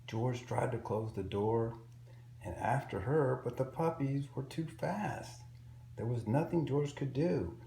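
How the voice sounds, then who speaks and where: A man reading a picture book aloud to young children in an expressive, simple storytelling way. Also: medium pitch, vocal fry. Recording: roomy echo with faint background noise.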